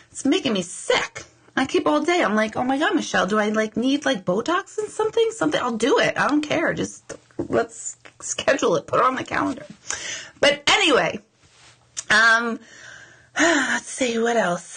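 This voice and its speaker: Woman, 40s, livestream host, rambly and chatty, with a bright, slightly high voice. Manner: chatty and rambling, quick asides and mock outrage. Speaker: intuitive medium hosting a live Q&A. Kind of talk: casual livestream chat with viewers.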